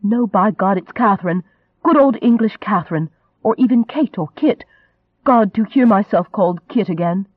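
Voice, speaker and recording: A man acting a fiery, high-pitched indignant young woman. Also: lively intonation, moderate pace, no room sound, phone-quality sound. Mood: exasperated. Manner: indignant, biting, emphatic. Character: fiery, indignant young Englishwoman, proud and sharp-tongued.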